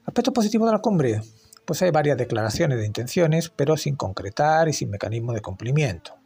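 A man reads a commentary segment with a gravelly, husky voice that rises and falls a lot. His delivery is wry and critical. Soft music plays underneath. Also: a little high, big pitch swings, a little fast. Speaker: environmental commentator. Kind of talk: scripted opinion segment.

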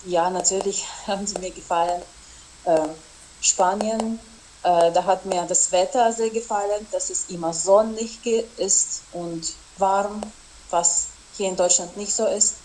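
A woman's voice: medium pitch, fairly even intonation, a little slow, mellow, a little hiss or hum, rough recording. Young woman with a Russian first language. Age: young adult. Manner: hesitant, with frequent fillers. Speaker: interview guest, exchange student. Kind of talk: casual interview answers.

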